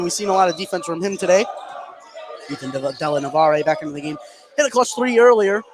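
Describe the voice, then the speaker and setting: A male American radio announcer delivers live basketball play-by-play in a fairly high voice. He calls the action excitedly. Clear venue noise runs behind him. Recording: live game broadcast with clear background noise. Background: sports venue noise.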